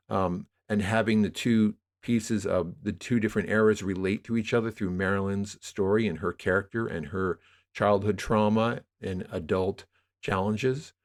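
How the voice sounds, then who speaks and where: An older man in his sixties with a fairly low voice. He speaks as a podcast guest, explaining his work conversationally, halting and restarting with emphasis as he works through each thought. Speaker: podcast guest, audio drama producer. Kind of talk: conversational interview storytelling.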